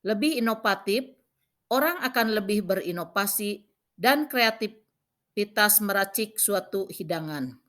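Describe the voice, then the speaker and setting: A woman's voice: mid-range voice, animated, a bit bright. Woman teacher narrating a lesson. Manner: instructional, reading out points in short measured phrases. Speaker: vocational school cooking teacher.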